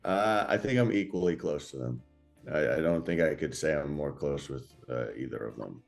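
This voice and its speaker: A man's voice: a bass voice, expressive, normal speed, creaky, soft background music. A man in his thirties from South Africa, a native Afrikaans speaker with an American accent, chatting informally and hesitantly as an interview guest. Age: 30s. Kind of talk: casual interview chat.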